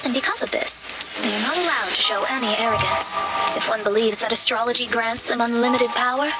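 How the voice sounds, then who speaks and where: Woman as a young female astrologer, high voice, proud, earnest, loud music behind, anime game. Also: a little fast, lightly acted, poor audio. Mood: solemn.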